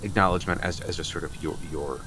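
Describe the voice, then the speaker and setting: A man acts out a nervous, over-apologetic suitor with a deep voice. He stumbles and repeats himself, eager to agree, in an improvised comedy radio play. There is noticeable background noise. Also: steady tone, quick pace, bright, forceful tone. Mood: anxious backpedaling. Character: flustered, over-apologetic boyfriend asking for a blessing.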